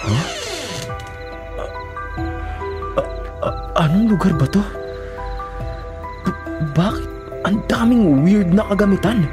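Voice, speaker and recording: A naive young man in a radio drama, with a slightly high voice. He is polite and anxious, tending to stammer when startled. Music plays softly behind him. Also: takes it slowly, long pauses. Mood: alarmed. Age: young adult. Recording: music bed under the voice.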